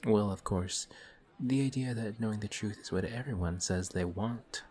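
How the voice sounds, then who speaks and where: An actor playing a courteous, formal villain who is sly and quietly taunting, in a one-sided audio roleplay. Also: male voice, lowish voice, normal speed, lightly acted. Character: suave, polite male villain in civilian clothes. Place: coffee shop scene with faint café chatter.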